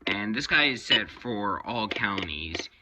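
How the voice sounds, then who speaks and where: A man giving a casual, unscripted review of his hobby collection, talking haltingly and pausing mid-phrase. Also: on the low side, animated, music in the background. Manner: halting, rambling delivery with pauses mid-phrase.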